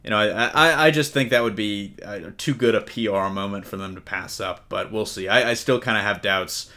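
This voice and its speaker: A man's voice: on the low side, normal speed, bright, forceful tone. A man hosting a casual entertainment news discussion in a conversational way, putting questions to his co-hosts. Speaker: podcast host.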